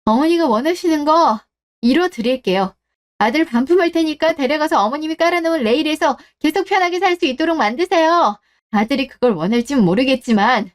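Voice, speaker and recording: A woman's voice: high voice, lively intonation, fairly quick. A woman playing a fed-up wife in a melodramatic radio drama, firm, cold and sarcastic. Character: cold, resolute, fed-up wife standing up for herself.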